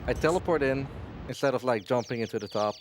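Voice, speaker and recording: A man's voice: mid-range voice, fast, noisy background. A man giving casual live speedrun commentary at a gaming marathon as he plays. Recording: game music behind the voice.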